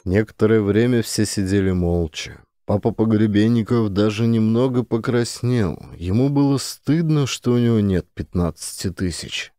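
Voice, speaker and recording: A man narrating a satirical story as an audiobook, measured and expressive. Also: fairly low pitch, dry recording.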